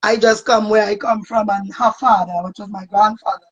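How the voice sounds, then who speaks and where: A woman's voice: medium pitch, little pitch movement, moderate pace, breathy edge, dull audio. A woman with a Trinidadian accent speaking earnestly and reflectively as a guest in a casual interview. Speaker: soca singer guest.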